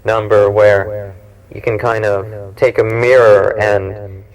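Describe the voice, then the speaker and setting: A man in his forties hosting a show, talking informally in a musing, halting, rambling way. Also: fairly low pitch, little pitch movement, a loud crowd, low-quality recording. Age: 40s. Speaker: cable TV show host and producer. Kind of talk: informal interview talk and reminiscence. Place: small equipment-packed studio. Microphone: clip-on mic.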